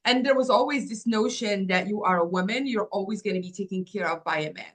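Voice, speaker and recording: A woman's voice: medium pitch, quick pace. A woman in her fifties from Morocco, telling her life story as an interview guest in an earnest, reflective way with many "um"s and "like"s. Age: 50s. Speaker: guest, agency founder.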